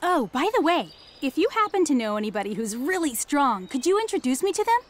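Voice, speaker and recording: A woman's voice: high voice, big pitch swings, slight background noise. A woman playing an eager, bubbly young jiu-jitsu fighter in a video game, bouncy and enthusiastic in her delivery. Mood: confident, upbeat. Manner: bouncy, enthusiastic, drawn-out exclamations. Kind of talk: video game cutscene acting. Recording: loud music behind.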